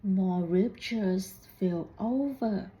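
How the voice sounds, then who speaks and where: Very slow-reading woman, storybook read-aloud. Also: mid-range voice, warm tone, poor audio. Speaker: student reading a picture book aloud. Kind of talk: storybook read-aloud with questions to young listeners.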